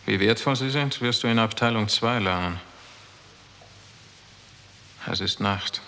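Casting: a smug, poetry-minded official; low, creaky, leisurely and sardonic, in a reverberant vintage radio play. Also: male voice, moderate pace, pushed and bright. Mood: smug, musing. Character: smug, cynical male superior with poetic pretensions. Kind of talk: 1960s German radio drama acting. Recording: reverberant hall, 1960s radio production.

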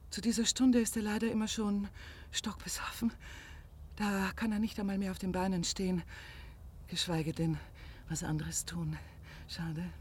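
An actress playing a pushy, lovelorn provincial woman organiser in a radio drama, effusive, rambling and melodramatic, with a husky, breathy voice. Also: fairly low pitch, darkish tone.

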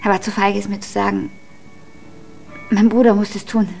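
A woman's voice: medium pitch, flat delivery, moderate pace, creaky, a little mellow, soft background music. An actress in a German radio drama playing a frank, melancholy young woman who confides hesitantly. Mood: confiding, weary.

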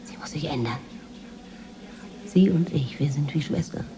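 An actress playing a secretive, possessive housemaid in a radio drama, speaking in a guarded, quietly insistent way. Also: female voice, low voice, unhurried, vocal fry. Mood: defiant, possessive. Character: secretive, possessive Moroccan housemaid, obsessed with her mistress.

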